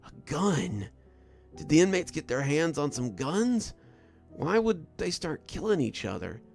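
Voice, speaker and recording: A man voicing a wounded, delirious prison guard sergeant in a horror story, speaking in a weary, halting, frightened way. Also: a little high, sing-song, gravelly, darkish tone, soft background music, voice pushed up, full character voice. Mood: dazed resignation. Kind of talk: first-person horror story narration.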